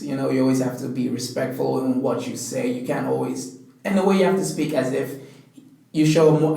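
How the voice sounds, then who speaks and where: A man's voice: mid-range voice, creaky, mellow. A young man from Angola, raised in South Africa, explaining his views in a thoughtful, hesitant way as a student guest. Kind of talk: casual discussion. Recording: echoey room, distant mic.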